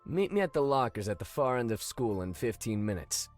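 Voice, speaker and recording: A man's voice: medium pitch, sing-song, a little fast, a little mellow, faint music behind, lightly acted. A man voicing a stubborn, scheming teenage boy with pointed, needling delivery. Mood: smug, threatening.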